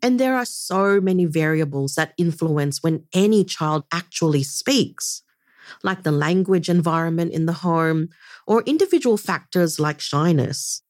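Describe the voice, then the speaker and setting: A woman hosting and narrating a documentary-style podcast, telling the story in a measured, expressive way. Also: fairly low pitch. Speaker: podcast host.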